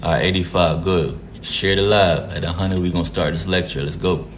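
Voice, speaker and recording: A man's voice: low voice, fairly even intonation, normal speed, a little hiss or hum. A man from Jamaica hosting a casual live stream, greeting viewers by name in a laid-back, repetitive way. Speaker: livestream host.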